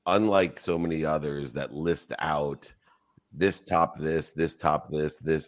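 Super deep-voiced host guy, gushing, lots of uhs. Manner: effusive, full of fillers. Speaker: show host. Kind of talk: live audio show interview.

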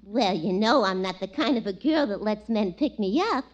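A woman's voice: mid-range voice, expressive, moderate pace, lo-fi sound, natural voice. An actress playing a dizzy, man-crazy, vain young woman in a 1940s radio comedy. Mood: boastful. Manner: gushing, dim-witted, easily affronted. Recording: noisy, crackly vintage radio recording.

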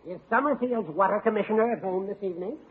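An actor playing a teasing, wordy older judge in a florid 1940s radio comedy manner. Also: male voice, high-pitched, quick pace, noisy background, natural voice. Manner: florid, needling. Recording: dull, lo-fi old radio recording.

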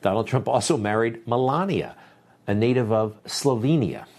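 A male news host talking in a lively voice that swings up and down in pitch. He mixes commentary with sarcastic, dismissive remarks. His voice sits at an ordinary middle pitch. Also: moderate pace, creaky. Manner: animated, sarcastic, dismissive asides. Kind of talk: opinionated commentary.

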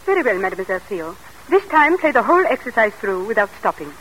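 Frank French-accented governess. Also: female voice, medium pitch, big pitch swings, quick pace, faint music behind, low-quality recording. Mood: instructing. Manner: gently admonishing. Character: caring, frank French governess and music teacher. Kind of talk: old-time radio drama acting. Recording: old radio broadcast recording.

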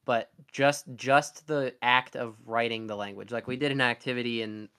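A man's voice: mid-range voice, steady tone, normal speed, a bit bright, clear, resonant tone. A young man co-hosting a podcast, chatting casually in a rambling, sarcastic way with frequent restarts. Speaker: podcast co-host. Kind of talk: casual chat.

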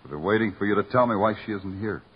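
An actor playing a dry, commanding police lieutenant in an old-time radio crime drama. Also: male voice, on the low side, fast, slightly rough. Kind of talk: 1940s radio acting. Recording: muffled old radio recording, faint hiss.

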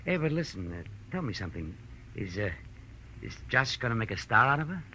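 An actor playing an awed, hopeful theater underling, halting and gossipy, in an old-time radio drama. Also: male voice, mid-range voice, sing-song, bright, forceful tone. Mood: curious, hesitant. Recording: faintly noisy old radio recording.

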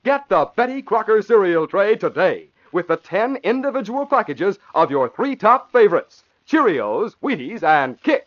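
A man's voice: high-pitched, very animated, normal speed, hardly any pauses, a bit bright. An American man announcing and narrating a 1940s radio show in a dramatic, emphatic, declamatory scripted style. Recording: muffled old radio broadcast recording.

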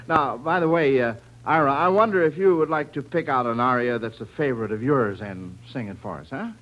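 A man's voice: medium pitch, fairly quick, muffled recording. An American man hosting a 1940s radio quiz show in a folksy, encouraging way, on an old recording.

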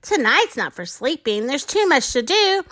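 A woman's voice: high voice, big pitch swings, normal speed, pushed and bright, exaggerated voice. A woman voicing an eager, bossy male storybook cat who gives commands in rhyme. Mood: excited. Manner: exclamatory, commanding, rhyming delivery.

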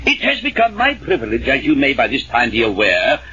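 A pompous flustered dad on old radio, bright voice, swings up and down a lot. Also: male voice, medium pitch, big pitch swings, moderate pace. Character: self-important middle-aged father feigning dignity. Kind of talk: radio sitcom acting. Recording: dull, noisy old radio recording.

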